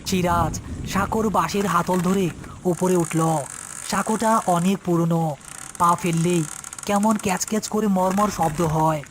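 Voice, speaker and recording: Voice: mid-range voice, steady tone, measured pace, slight background noise. A narrator reading a scripted horror story in a storytelling style.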